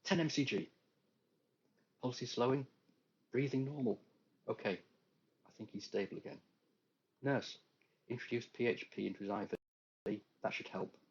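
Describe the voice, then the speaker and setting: A man voicing a brisk hospital doctor who asks calm, matter-of-fact questions. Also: medium pitch, gravelly, slightly rough, highs cut off, pitched above the performer's own voice. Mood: urgent.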